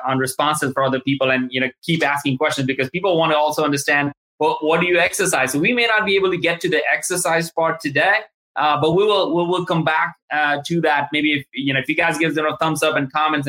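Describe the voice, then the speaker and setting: A man's voice: fairly high pitch, pushed and bright, poor audio. A man hosting an interview, asking loose, rambling questions with many restarts. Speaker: show host. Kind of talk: casual interview chat.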